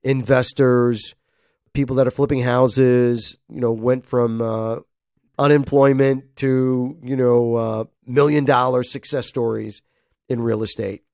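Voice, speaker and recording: A man's voice: mid-range voice, steady tone, a little slow, darkish tone, no echo, studio quality. A man hosting a casual solo podcast, sounding nasal from a head cold, rambling and chuckling as he talks. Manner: rambling, chuckles often, acts out phone calls. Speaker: podcast host.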